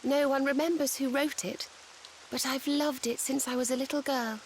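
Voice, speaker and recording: An actress voicing a gentle, polite young woman in a video game, speaking in prim phrases with faint rain behind her. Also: high-pitched, steady tone, moderate pace, a little hiss or hum. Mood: wistful. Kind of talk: dramatic video game voice acting.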